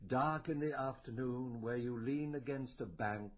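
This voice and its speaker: An actor playing a brooding, inquisitive medical detective in a naturalistic radio drama, questioning and musing in a deliberate way. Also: male voice, medium pitch, highs cut off, lightly acted. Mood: melancholy musing.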